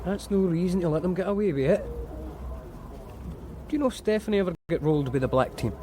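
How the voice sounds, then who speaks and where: An actress playing a probing, persistent police detective questioning witnesses, in a radio drama. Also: female voice, lowish voice, lively intonation, quick pace, dark tone, lightly acted. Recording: radio drama with street noise.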